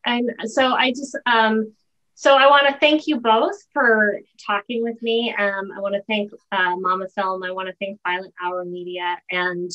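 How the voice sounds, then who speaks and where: Woman hosting with halting phrasing. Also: medium pitch, little pitch movement, a bit bright, muffled recording. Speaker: interview host. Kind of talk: conversational hosting. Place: home room. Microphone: video call.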